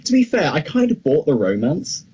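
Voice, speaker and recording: A man's voice: a little high, wildly swooping pitch, fairly quick, dark tone. A young British man co-hosting a film podcast, chatting casually as he reviews movies. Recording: clearly noisy background ambience.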